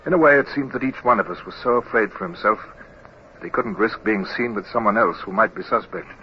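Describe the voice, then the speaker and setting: A man plays a resolute naval officer in a vintage radio drama. His voice is fairly low, grave and measured. The old recording is dull, with faint noise behind him. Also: lightly acted. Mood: grave narration. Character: earnest, resolute young male naval lieutenant, narrating his tale. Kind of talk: old-time radio drama acting and narration.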